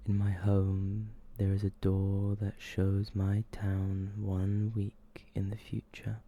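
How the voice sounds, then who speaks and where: A man with a very low, creaky voice reads scary stories in an ASMR style. His delivery is slow and hushed, often sinking toward a whisper, with a dark, mellow tone. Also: completely flat delivery, a little hiss or hum. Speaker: ASMR host reading short horror stories. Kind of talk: ASMR scripted narration.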